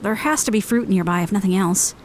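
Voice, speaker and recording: An actress playing a resolute shipwreck survivor in a fantasy audio drama. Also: female voice, mid-range voice, fairly quick, slight background noise, natural voice. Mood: determined. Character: resolute female shipwreck survivor planning for food.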